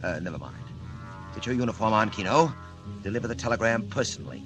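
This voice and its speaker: An actor playing a smug criminal mastermind who gives orders in a precise, sardonic way, in the theatrical style of a 1940s radio serial. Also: male voice, fairly low pitch, expressive, fast talker. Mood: smug, scheming. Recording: vintage 1940s radio recording, loud music behind.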